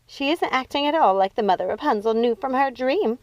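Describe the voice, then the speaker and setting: Woman as a high, scornful witch sister. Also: expressive, fairly quick, dry recording. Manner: mocking, high, exclamatory. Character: scornful, mocking witch sister, easily amused.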